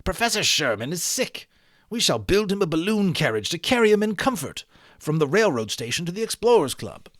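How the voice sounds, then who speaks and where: A man voicing an excitable, scheming company president in a storybook, emphatic and declarative. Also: sits high, brisk, no room sound, studio quality, pitched above the performer's own voice, exaggerated voice. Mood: urgent, excited.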